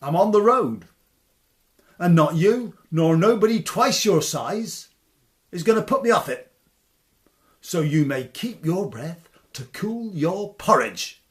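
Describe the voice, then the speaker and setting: A man's voice: mid-range voice, sing-song, a little slow. A man doing cheeky young Cornish wrestler Tom. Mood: defiant. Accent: Cornish dialect. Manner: cheeky, taunting.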